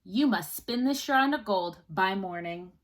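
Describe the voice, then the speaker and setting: A woman voicing a greedy, harsh fairy-tale king in a storybook read-aloud, speaking in slow, commanding threats. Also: medium pitch, animated, pitched below the performer's own voice, lightly acted. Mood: stern, threatening.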